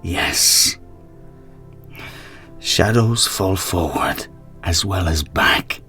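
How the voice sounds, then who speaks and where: A man playing an earnest, weary messenger from another world in a radio drama, solemn and pleading with breathy urgency. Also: low-pitched, expressive, drawn-out words, sharp tone, soft background music, exaggerated voice. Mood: grave, weakened.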